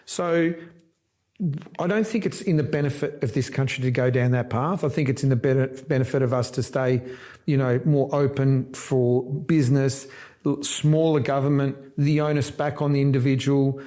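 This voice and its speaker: A man's voice: mid-range voice, steady tone, normal speed, mellow. A middle-aged man from Argentina, a politician talking casually and emphatically, often breaking off and restarting words. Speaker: politician guest.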